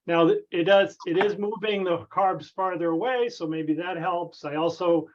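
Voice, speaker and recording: A man on a conference call casually explaining a technical problem in a halting, tentative way. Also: high voice. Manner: halting, tentative, circles back. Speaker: club member asking a technical question. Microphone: conference call connection. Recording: dull, band-limited conference call audio.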